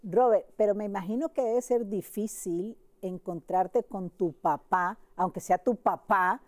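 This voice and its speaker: A woman's voice: mid-range voice, a little slow. A woman hosting a TV interview, asking friendly, rambling questions. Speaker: TV show host, interviewer. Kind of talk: casual interview conversation.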